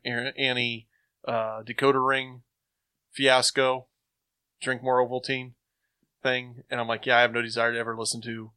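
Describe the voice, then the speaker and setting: A man in casual podcast conversation, effusive and enthusiastic. His voice is bright and mid-pitched, recorded dry with no room sound. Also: no echo. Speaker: podcast panelist.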